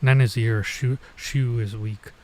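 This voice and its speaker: A man's voice: medium pitch, steady tone, takes it slowly, warm tone. A man streaming gameplay with casual commentary, thinking aloud in a hesitant, rambling way. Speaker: streamer, virtual YouTuber.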